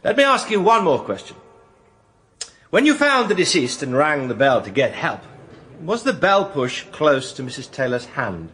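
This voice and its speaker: An actor playing a suave, self-assured English defence barrister, articulate and probing, in a radio drama. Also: male voice, fairly high pitch, very animated, moderate pace, fairly bright tone.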